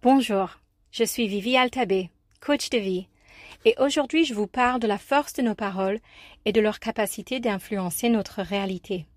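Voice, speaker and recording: A woman from the United States with an American accent, giving a scripted, expressive personal talk as a life coach and columnist. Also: medium pitch, no room sound. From United States, New York. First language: English.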